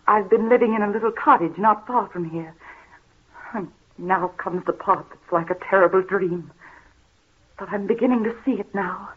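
An actress playing a frightened, tormented heiress in a 1930s gothic radio mystery, with halting, dramatic delivery. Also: female voice, mid-range voice, slightly rough, close to an everyday voice. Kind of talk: 1930s old time radio acting. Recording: muffled, scratchy 1930s radio recording.

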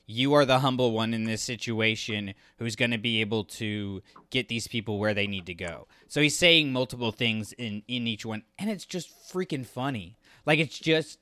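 A man's voice: medium pitch, normal speed, few pauses, bright, forceful tone, no room sound, clean studio sound. A man co-hosting a podcast, discussing stories in a casual, opinionated, conversational way. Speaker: podcast co-host.

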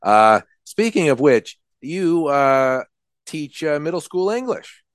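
Male podcast host, jokey and teasing, deep smooth voice, dry close sound. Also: sing-song, slow, no room sound. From Rhode Island. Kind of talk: casual interview conversation.

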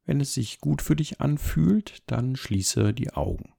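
A man leading a guided meditation as an instructor, speaking calmly and gently in measured, unhurried phrases. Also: mid-range voice, gravelly, slightly rough. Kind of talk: guided body scan meditation, soft instruction.

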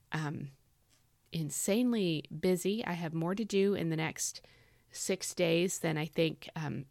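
A woman with a low, gravelly voice hosts a devotional podcast. Her voice is scratchy and worn from overuse. She talks in an earnest, chatty way with lots of "um," and the recording is close and dry. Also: no echo. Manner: earnest, chatty, frequent "um" fillers. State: voice tired from overuse. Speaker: devotional podcast host and author. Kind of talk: casual devotional podcast talk.

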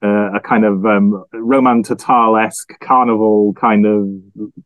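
A man giving thoughtful, casual analysis as a podcast panelist, halting and repeating words as he thinks. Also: on the low side, steady tone, no echo, narrow, tinny audio.

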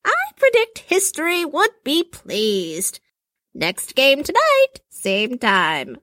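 A woman's voice: high voice, wildly swooping pitch, slow, sharp tone, dry recording, clean studio sound, voice pushed up, full character voice. A knowing old fortune teller woman, as a narrator puts her on. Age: older.

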